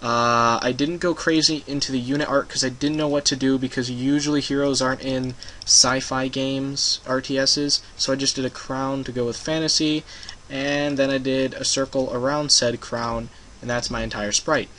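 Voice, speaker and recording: Bright-voiced young man rambling through a tutorial. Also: medium pitch, level tone, normal speed, a little hiss or hum. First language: English. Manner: rambling, hesitant with frequent ums and uhs. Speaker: game tutorial maker. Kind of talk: casual screen-recorded tutorial.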